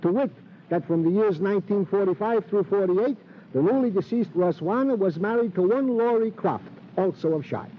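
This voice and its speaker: An actor playing an excitable police sergeant, the comic sidekick in a 1950s radio crime drama, with flowery, mock-formal, theatrical speech. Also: male voice, high-pitched, normal speed. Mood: gleeful, pompous. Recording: muffled, faintly noisy old radio recording.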